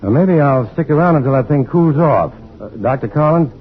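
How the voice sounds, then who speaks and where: A dull, vintage radio recording of a man acting a mild American scientist. He is modest and thoughtful, a little hesitant, and his pitch moves around a lot. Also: expressive, fairly quick, lightly acted. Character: mild, modest scientist, curious and faintly awkward. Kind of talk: mid-century American radio drama acting.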